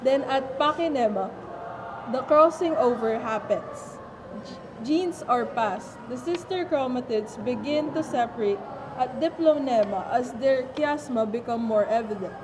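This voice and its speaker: A woman playing a teasing, slightly reluctant doctor in an amateur puppet show, explaining things to children haltingly. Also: a little high, lightly acted. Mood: instructive. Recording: noisy amateur room recording.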